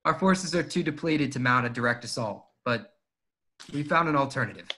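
A man playing a confident rebel commander briefing his troops, brisk and earnest. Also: medium pitch, animated, pushed and bright. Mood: resolute. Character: confident male resistance pilot-commander giving a briefing. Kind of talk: fan table read of a film script.

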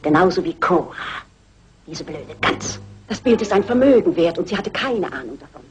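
A woman's voice: lowish voice, lively intonation, normal speed, lightly acted. An actress playing a scheming, scornful woman killer in a German radio crime drama, gloating and contemptuous. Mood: gloating, menacing. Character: scheming, scornful female killer posing as harmless companion.

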